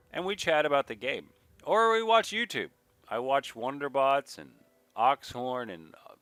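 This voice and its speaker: A man giving casual, rambling let's play commentary, thinking aloud at an unhurried pace, slightly nasal with a mild sniffle. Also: mid-range voice, fairly bright tone. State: mild sniffle from a recent cold. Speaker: gaming video creator, player.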